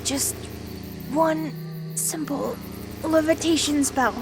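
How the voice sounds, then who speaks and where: A woman playing a young changeling princess in an audio drama, proud, anxious and easily exasperated, speaking in a haughty, expressive way. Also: high voice, takes it slowly, over music. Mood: musing, determined. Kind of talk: dramatized fan fiction audio acting.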